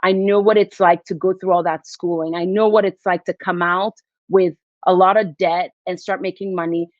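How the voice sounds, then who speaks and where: A woman's voice: lowish voice, no room sound, highs cut off. A woman from Nigeria, a physician, speaking earnestly and reflectively as a podcast guest. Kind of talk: podcast interview.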